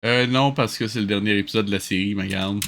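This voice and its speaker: A man's voice: lowish voice, fairly even intonation, quick pace, over music. A man with a Québécois accent giving comic reaction commentary, incredulous and full of exasperated questions. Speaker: host reviewing a cartoon.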